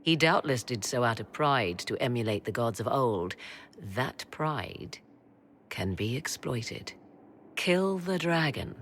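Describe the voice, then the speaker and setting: Casting: proud fantasy-game sorceress, deep female voice, slow and deliberate, steeped in ancient lore. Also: lively intonation, fairly bright tone, slight background noise, exaggerated voice. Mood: grave, knowing. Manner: formal, theatrical, proud and deliberate. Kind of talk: dramatic fantasy game acting.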